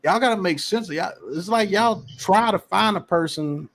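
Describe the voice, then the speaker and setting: A man's voice: high voice, moderate pace. A man hosting a casual sports podcast, reading out chat comments and arguing his points emphatically. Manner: animated, emphatic, repeats phrases. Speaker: podcast host.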